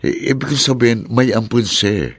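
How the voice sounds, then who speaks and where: A man's voice: medium pitch, a little fast, husky, dry recording. An actor playing a sincere young man in love in a radio drama. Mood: troubled.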